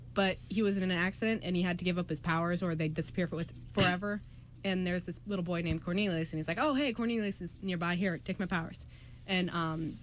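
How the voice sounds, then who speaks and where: A young adult woman hosting a radio drama show, chatting casually and explaining in loose, informal asides. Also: lowish voice. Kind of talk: casual chat. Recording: noisy room recording.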